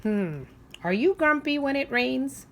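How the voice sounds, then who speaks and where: A woman teacher leading a read-aloud for young children, asking simple questions and pausing for them to think. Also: high-pitched, expressive, a bit bright, slight background noise.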